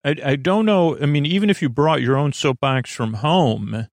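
A man hosting a sleep podcast in a creaky voice, meandering hesitantly and soothingly from one digression to the next. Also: on the high side, normal speed, dry recording. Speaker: podcast host.

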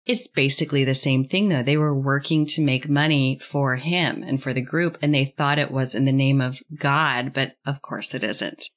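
A woman's voice: very deep voice, normal speed, few pauses. A woman interviewing in a conversational, affirming way, relating what she hears to her own experience.

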